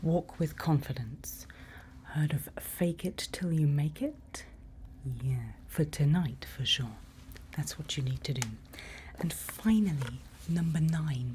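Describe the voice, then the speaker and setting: A woman performing a whispered ASMR roleplay as a calm night-watch guide, breathy, instructive and reassuring, close to the microphone. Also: low-pitched. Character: calm female night-watch guide in a dark monster-filled forest. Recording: faint background ambient noise.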